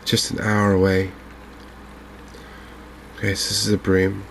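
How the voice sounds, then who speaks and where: Deep quiet english guy doing asmr game commentary, super monotone, gentle, bit of hiss n game ambience behind. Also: gravelly. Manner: gentle, unhurried, hushed. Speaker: gaming video host. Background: fishing game ambience.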